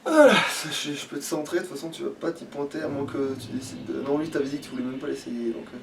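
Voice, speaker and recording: A man's voice: fairly high pitch, fast talker, gravelly. A man doing casual let's play commentary as he plays, grumbling and swearing freely. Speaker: let's play streamer playing the game. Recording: distant mic with background noise.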